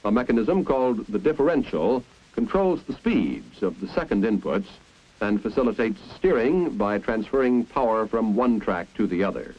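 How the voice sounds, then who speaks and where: A man's voice: on the low side, sing-song, moderate pace, a little mellow. An American man narrating a 1950s instructional film in a didactic, explanatory way. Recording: dull, muffled 1950s film soundtrack.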